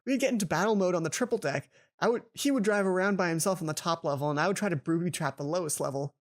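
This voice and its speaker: A woman's voice: fairly low pitch, fast, close to an everyday voice. A woman voicing an eager but nervous teenage schoolgirl in a visual novel, hesitant and polite. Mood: chatty.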